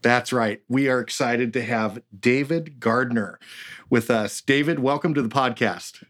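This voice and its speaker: A man's voice: mid-range voice, unhurried, fairly bright tone. A man hosting a podcast interview in an upbeat, chatty way. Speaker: podcast host. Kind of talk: casual interview.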